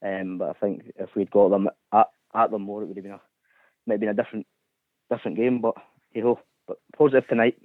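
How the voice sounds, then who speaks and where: Male radio caller, low voice, halting delivery, phone-line sound. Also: steady tone, no echo. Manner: hesitant, filler-heavy. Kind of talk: phone-in chat.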